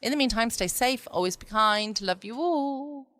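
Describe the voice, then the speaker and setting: A woman's voice: fairly high pitch, animated, moderate pace, a bit bright, no room sound. A woman chatting casually as a podcast host at home. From United Kingdom. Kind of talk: casual chat.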